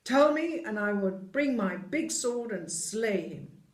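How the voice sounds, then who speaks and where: A reader voicing a gentle, remorseful storybook giant, tender and solemn. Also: mid-range voice, expressive, takes it slowly, voice pushed up. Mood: indignant. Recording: echoey room.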